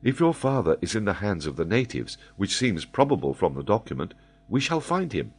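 A man voicing a kindly noblewoman who speaks softly and comfortingly. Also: fairly low pitch, big pitch swings, fairly quick, slightly rough, pitched above the performer's own voice. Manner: soft, comforting.

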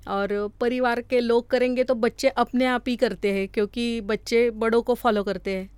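A woman from Maharashtra with a Marathi accent, giving casual, somewhat halting answers as an interview guest. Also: fairly high pitch, steady tone, darkish tone, smooth, no room sound. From Maharashtra, India.

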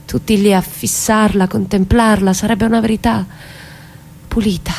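An actress playing a courageous, bitter mother and doomed witness in an Italian radio stage drama, with intense, deliberate delivery. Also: mid-range voice, level tone, gravelly, darkish tone. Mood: solemn, defiant.

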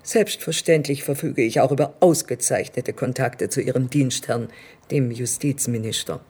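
A woman's voice: rumbling low, moderate pace, a little mellow, slightly rough. A woman acting in a radio play as a menacing, condescending state premier who speaks with controlling courtesy. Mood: cold pressure.

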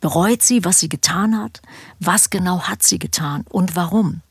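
A woman's voice: on the low side, normal speed, slightly rough, no echo, clean studio sound. A woman presenting a podcast with a scripted introduction, speaking seriously and directly to listeners.

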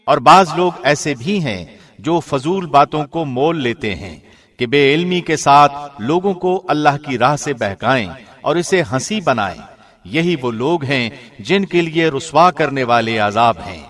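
A man reading a scripture translation from a script in a formal, measured, clearly enunciated way. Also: medium pitch, animated, a bit bright. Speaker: translation reader, radio host.

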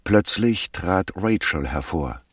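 A man with a husky voice acting a wry crime-story narrator in a German radio drama. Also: sits low, animated, takes it slowly, very noisy. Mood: matter-of-fact.

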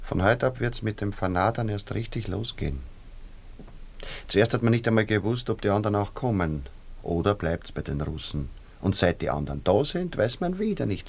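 A man's voice: fairly low pitch, gravelly, darkish tone, husky, exaggerated voice. An actor with a Viennese accent playing a worried, gossipy and practical working man in a radio drama, grumbling colloquially. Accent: Austrian, Viennese.

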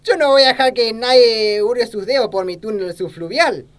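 A woman's voice: a little high, moderate pace, close to an everyday voice. A woman playing a crooked, lecherous, ignorant old small-town politician, with an Argentine accent and folksy, blustering talk in an amateur satirical sketch. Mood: brash, flirty.

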